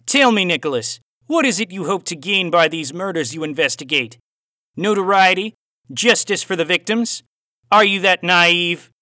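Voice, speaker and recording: A theatrical phantom cowboy villain from an audiobook, Australian with a hint of twang. The voice is raised, bright and forceful, and very animated. He puts formal, taunting questions with deliberate menace. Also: high voice, no echo, studio quality, pitched above the performer's own voice. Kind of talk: audiobook character voice.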